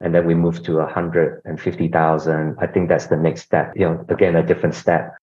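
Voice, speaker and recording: Deep-voiced man from Singapore, dull audio. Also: monotone, a little fast, darkish tone. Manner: explanatory, with frequent "you know" and repeats. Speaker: guest, healthcare executive. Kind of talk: conversational interview answers.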